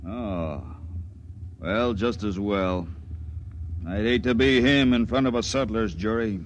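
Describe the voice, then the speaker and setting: A brusque patrol officer. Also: male voice, lowish voice, slow pace, muffled recording, a little hiss or hum. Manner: barking orders, brusque. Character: gruff male patrol officer, harsh and commanding. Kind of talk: 1950s radio drama acting.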